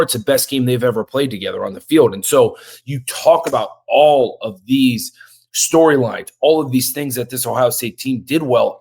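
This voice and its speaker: A man co-hosting a sports podcast, hoarse from yelling, talking in an excited, emphatic way. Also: medium pitch. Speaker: podcast co-host.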